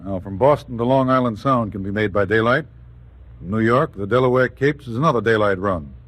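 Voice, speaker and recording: An actor playing a businesslike wartime naval staff officer with a formal, matter-of-fact delivery. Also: male voice, lowish voice, brisk, a bit bright. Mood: reasoned, serious.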